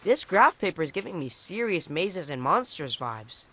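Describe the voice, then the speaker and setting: Geeky teen turtle boy: high, lively, earnest, wordy, for a cartoon game. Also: moderate pace, full character voice. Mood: geeky interest. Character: nerdy, earnest teenage mutant turtle boy. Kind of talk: animated video game cutscene acting.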